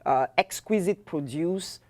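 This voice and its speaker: A middle-aged woman from Cameroon with a Cameroonian accent, a restaurant owner giving warm, halting cooking advice as a guest in a studio interview. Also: on the low side, expressive. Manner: warm, halting, many fillers.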